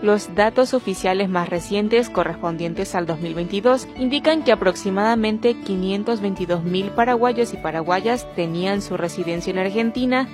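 A woman reading a scripted radio feature narration with a clear, composed delivery. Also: mid-range voice.

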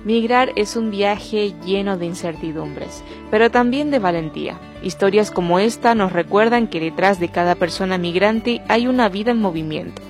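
A woman reading a scripted radio feature narration with a clear, composed delivery. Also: medium pitch.

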